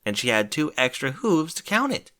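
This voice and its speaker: A man's voice: fairly high pitch, big pitch swings, normal speed, bright, forceful tone, pitched above the performer's own voice. A man voicing a bookish, anxious female unicorn who speaks earnestly and fretfully in a storybook reading. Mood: worried, embarrassed.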